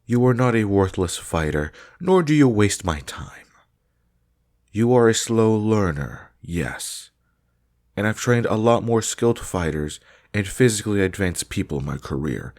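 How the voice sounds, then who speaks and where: Guy doing a strict snooty vampire trainer, really low voice, formal n blunt, audio roleplay. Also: sing-song, unhurried, a little mellow. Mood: stern. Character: stern, haughty male vampire combat master. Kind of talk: roleplay audio drama acting.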